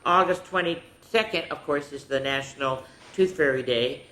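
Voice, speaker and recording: A woman's voice: low voice, moderate pace, fairly bright tone. A senior woman hosting a children's story time, chatty and instructive, often putting questions to the kids. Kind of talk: children's storytelling and activity talk. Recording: echoey room with faint noise.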